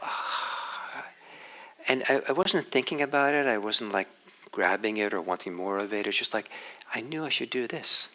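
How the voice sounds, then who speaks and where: A man from Norway giving a dharma talk as a meditation teacher, speaking in a reflective, unhurried way with halting restarts. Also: lowish voice, creaky, pushed and bright, poor audio.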